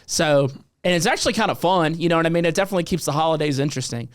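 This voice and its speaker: A man's voice: fairly high pitch, racing, no echo, studio quality. A man from Mississippi with a Southern US accent, hosting a casual chat in a laid-back, slangy, swear-heavy way. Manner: laid-back, slangy, swears a lot, calls people dude. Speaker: host.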